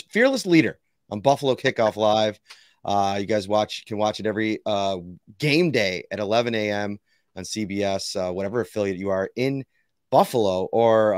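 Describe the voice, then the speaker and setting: A man in his thirties hosts a casual sports podcast from a room at home, his voice a little hoarse. He talks in an upbeat, joking way and laughs easily. The sound is dry and close, with almost no room echo. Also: lowish voice. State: slightly hoarse. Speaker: podcast host.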